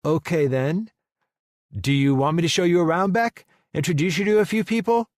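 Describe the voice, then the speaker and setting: A man's voice: fairly high pitch, moderate pace, no room sound, clean studio sound, pitched above the performer's own voice, natural voice. A man voicing an amiable, easily cowed male office colleague in a romance audiobook, eager and conciliatory.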